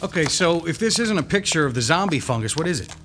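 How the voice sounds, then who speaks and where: Fast-talking blunt detective, audio drama, faint music. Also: male voice, on the high side, sing-song. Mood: questioning. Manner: blunt, questioning. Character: male police detective, skeptical questioner.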